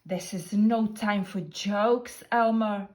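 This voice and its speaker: A woman's voice: medium pitch, fairly even intonation, slow, natural voice. A woman voicing anxious, skeptical storybook elephants in a worried, emphatic manner. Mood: stern, alarmed. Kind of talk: storybook character reading.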